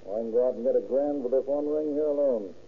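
Guy as a shifty small-time crook, slangy n defensive, husky voice, old tinny radio sound. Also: medium pitch, fast, lightly acted. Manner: slangy, defensive underworld talk. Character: shifty, fast-talking petty crook, defensive and wheedling. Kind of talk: 1930s radio crime drama acting.

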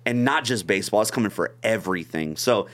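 A man's voice: on the low side, animated, fast, a bit bright. Man, from long beach, earnest, repeats his openings, podcast guest, casual talk. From Long Beach, California. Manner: earnest, repeats words when starting a thought.

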